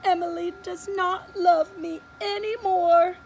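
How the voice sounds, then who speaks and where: A woman's voice: very high-pitched, steady tone, slow pace, slight background noise, voice pushed up, exaggerated voice. A woman voicing a lonely, remorseful house cat with a whimpering, wailing voice.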